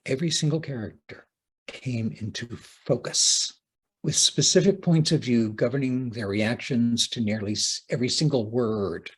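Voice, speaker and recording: A man with a husky voice giving a prepared talk as a playwright, speaking deliberately and theatrically with dramatic pauses. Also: mid-range voice. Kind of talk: prepared conference lecture, read aloud with dramatic phrasing.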